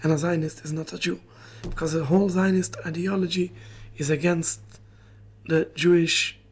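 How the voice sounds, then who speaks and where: A man's voice: on the high side, fairly even intonation, normal speed, breathy edge. A man from Palestine, not a native English speaker, giving emphatic, proud interview answers in broken English.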